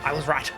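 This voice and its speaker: A man playing a tabletop game, casually talking through rules and his moves. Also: a little high. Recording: music behind the voice. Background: background music.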